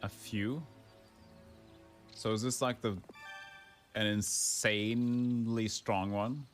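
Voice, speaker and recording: A man's voice: fairly low pitch, fairly even intonation, slow pace, soft background music. A man from Norway giving playful, theatrical, joking commentary as he streams a game.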